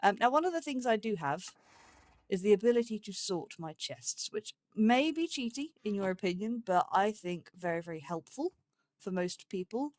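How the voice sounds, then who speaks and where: A woman's voice: medium pitch, expressive, moderate pace, darkish tone, no room sound. A woman giving casual, chatty let's play commentary as a gaming host, thinking aloud as she goes. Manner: thinks aloud, chatty, talks to game animals.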